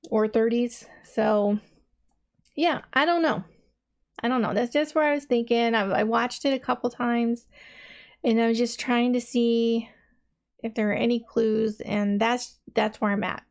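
A woman's voice: fairly high pitch, steady tone, measured pace. A woman in her thirties hosting a reaction video, thinking aloud in a rambling, casual way with many fillers, in a small dim room.

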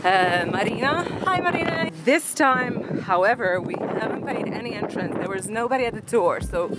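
A woman's voice: fairly high pitch, lively intonation, normal speed, heavy background noise. Upbeat Croatian woman vlogging outdoors. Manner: chatty and upbeat, with a non-native phrasing. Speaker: travel vlogger. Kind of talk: casual travel vlog to camera. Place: outdoors at hilltop ruins on a windy day. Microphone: handheld camera at arm's length. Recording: background music over outdoor audio.

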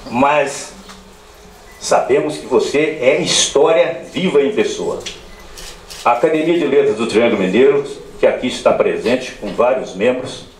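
A man in his seventies with a Brazilian accent gives a formal, solemn and emphatic ceremonial speech into a handheld microphone. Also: mid-range voice. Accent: Brazilian Portuguese. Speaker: ceremony speaker. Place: crowded room at a public ceremony. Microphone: handheld microphone over PA. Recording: echoey amplified hall sound.

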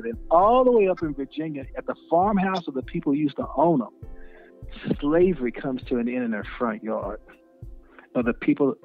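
A man telling his family's story in a preacherly way, building with rising emphasis. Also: mid-range voice. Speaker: storyteller guest. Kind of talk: personal testimony interview. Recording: tinny phone-like recording.

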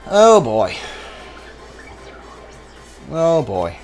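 A man's voice: mid-range voice, wildly swooping pitch, very slow, a little mellow. A man giving casual, off-the-cuff commentary as he plays a video game, muttering his thoughts aloud to himself. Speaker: video game streamer playing a blind playthrough. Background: video game music.

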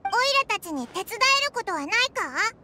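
A woman voicing a tiny, eager, boastful fairy companion in a Japanese video game, squeaky and childlike, with exclamatory, boyish wording. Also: big pitch swings, fairly quick, bright, forceful tone, exaggerated voice.

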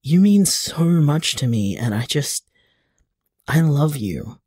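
A man playing a loving, supportive boyfriend in an audio drama, speaking earnestly and haltingly, with casual tenderness. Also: fairly high pitch, sing-song, dark tone, slightly rough, no echo, clean studio sound, full character voice. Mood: tender, loving. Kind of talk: audio drama voicemail acting.

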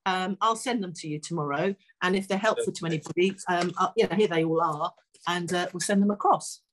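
A woman's voice: fairly low pitch, a little fast, a bit bright, slight background noise, rough recording. A woman in her sixties talking informally and encouragingly to parents, as a guest speaker on a video call from home. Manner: encouraging, conversational. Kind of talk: informal advice talk to parents. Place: furnished room at home. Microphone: video call, laptop microphone.

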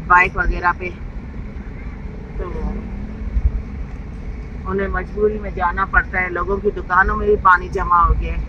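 A woman's voice: lowish voice, level tone, unhurried, long pauses, dull audio. A woman with a Pakistani Urdu accent, chatting casually to viewers as she films from a car. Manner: chatty, showing viewers around. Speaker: vlogger. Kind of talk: casual vlog narration. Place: inside a car in heavy rain. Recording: loud rain and road noise.

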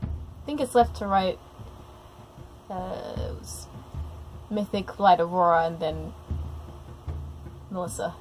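A woman's voice: lowish voice, slow pace, pauses often, a little hiss or hum, low-quality recording. A woman giving casual, easily amused reaction commentary, thinking aloud as a cartoon plays. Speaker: reactor commenting on an animated series. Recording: music playing behind. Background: soundtrack of the cartoon being watched.

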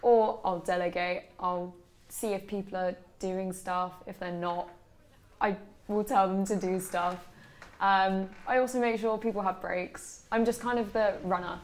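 A woman's voice: mid-range voice, fairly even intonation, a little slow. A young adult woman speaking casually in an interview as an event helper. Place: small lakeside room with large windows.

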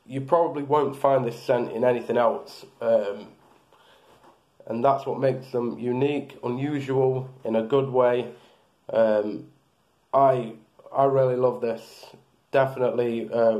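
Mellow-voiced bearded man reviewing products. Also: mid-range voice. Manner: rambling, hesitant, many fillers and restarts. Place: small room indoors.

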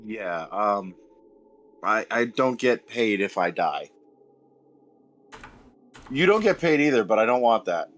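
A man's voice: fairly low pitch, big pitch swings, takes it slowly, long pauses, fairly bright tone. A man chatting casually as a player in a tabletop roleplaying game. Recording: faint background noise.

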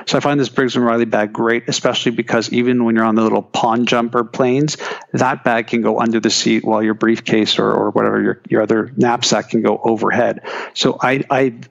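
A man's voice: medium pitch, level tone, a little fast, gravelly, lo-fi sound. A man talking casually as an interview guest, chatty with frequent restarts and fillers. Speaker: guest, keynote speaker.

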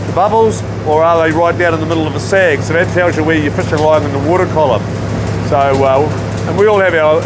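Middle-aged man, fishing skipper, casual rambling explainer, slightly raised pitch, loud deck noise. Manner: relaxed, rambling, many fillers and restarts. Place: outdoors on deck of a fishing boat at sea. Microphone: lapel mic. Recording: heavy wind and engine noise on deck. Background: wind, engine and sea noise.

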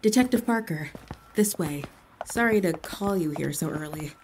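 A woman's voice: lowish voice, lively intonation, moderate pace, background noise. A woman acting a suspicious, wry police investigator in an audio drama, matter-of-fact and dry. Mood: businesslike.

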